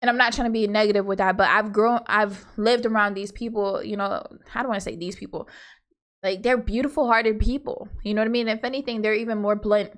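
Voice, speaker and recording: A woman's voice: mid-range voice, steady tone, a little fast. A woman from Hawaii co-hosting a casual podcast with her husband, rambling through her points with lots of asides and fillers. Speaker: podcast co-host. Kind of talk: casual opinionated chat.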